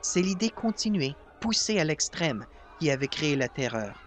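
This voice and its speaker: A man reads literary prose aloud as an audiobook narrator. His delivery is formal and his voice sits slightly high for a man. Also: sing-song, moderate pace, some chatter behind. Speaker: audiobook reader.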